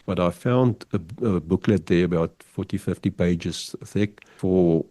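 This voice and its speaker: An older man whose first language is Afrikaans, speaking as a podcast guest in a measured, halting way with many restarts. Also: low voice, mellow, dry recording. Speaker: guest, pigeon figurine artist. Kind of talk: podcast interview over a call.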